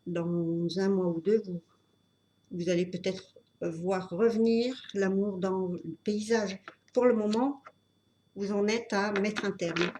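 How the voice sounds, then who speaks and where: A woman with a cold giving an unscripted tarot reading, talking in a halting way with many fillers and restarts. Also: medium pitch, steady tone, mellow, slight background noise. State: has a cold. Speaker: tarot reader.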